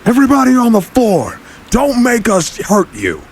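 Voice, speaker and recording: A man voicing a threatening, panicky bank robber barking orders with a husky voice in an audio drama. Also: very high voice, wildly swooping pitch, normal speed, voice pushed up, full character voice. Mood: menacing.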